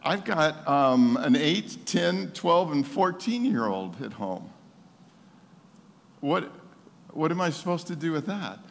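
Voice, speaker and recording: A seventy-year-old man preaching with personal testimony, emphatic and animated, repeating phrases for effect. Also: a little high, slow pace, gravelly. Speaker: pastor preaching to his congregation. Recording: long hall reverb.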